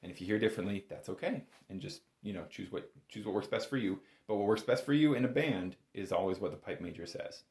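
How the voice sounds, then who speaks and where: A man's voice: fairly low pitch, animated, quick pace, few pauses, darkish tone. A man giving an informal music lesson as an instructor, often correcting himself and stressing key words. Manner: hedging, self-correcting, emphatic on key words. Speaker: bagpipe instructor. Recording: roomy, echoing room sound.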